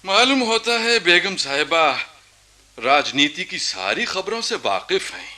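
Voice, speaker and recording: Proud young nawab, sharp and animated. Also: male voice, high-pitched, a little slow, full character voice. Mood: sardonic, aggrieved. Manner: regal, rhetorical, sardonic. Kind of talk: theatrical Hindi radio-play acting.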